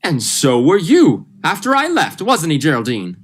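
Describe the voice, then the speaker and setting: Student playing an urgent doctor, wildly swinging pitch. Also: huge pitch swings, normal speed, slightly rough. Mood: defensive, pointed. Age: young adult. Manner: melodramatic, emphatic, insistent. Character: Doctor Precinct, urgent, commanding, suspicious male doctor. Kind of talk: 1940s radio thriller acting.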